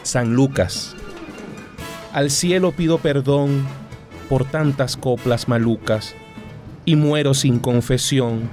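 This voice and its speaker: A man's voice: medium pitch, slow, pauses often, darkish tone, faint music behind. A man giving a theatrical reading of satirical rhymed verse. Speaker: reader of comic verse.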